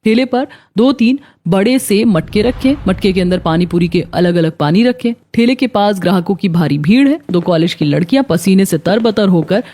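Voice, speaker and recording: Mellow woman storyteller. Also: mid-range voice, expressive, normal speed, hardly any pauses. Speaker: narrator. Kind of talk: scripted moral story narration. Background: faint background music.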